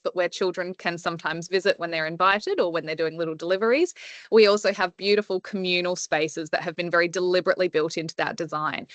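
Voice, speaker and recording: A woman's voice: mid-range voice, a bit bright, no echo. A woman, an early childhood educator, presenting in a chatty way with many fillers on a video call. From Australia. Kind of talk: webinar presentation.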